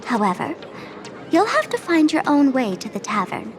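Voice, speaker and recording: A woman's voice: high-pitched, huge pitch swings, moderate pace. An actress playing a mischievous, smugly self-assured young woman trickster in a video game, with a playful, theatrical manner. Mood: breezy, matter-of-fact. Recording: studio voice over game music.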